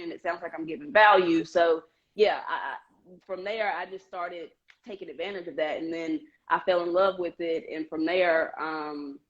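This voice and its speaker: A woman's voice: on the low side, highs cut off. A young woman being interviewed as a guest over a video call, telling her story earnestly with frequent "um"s and "you know"s. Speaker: guest, Air Force member. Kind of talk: casual interview chat.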